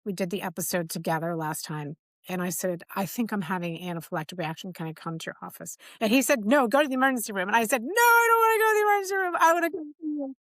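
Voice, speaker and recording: A woman's voice: mid-range voice, animated, racing, fairly bright tone, dry recording. A middle-aged woman hosting a podcast, candidly telling a personal story in casual conversation and acting out quoted dialogue. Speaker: podcast host.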